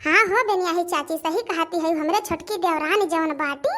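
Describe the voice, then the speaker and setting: A woman's voice: very high-pitched, normal speed, bright, forceful tone. A woman voicing village women of a household in a cartoon family comedy, chatting repetitively in a rustic Awadhi accent. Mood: admiring, gossipy. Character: village women of a household, gossiping, admiring and grumbling in-laws.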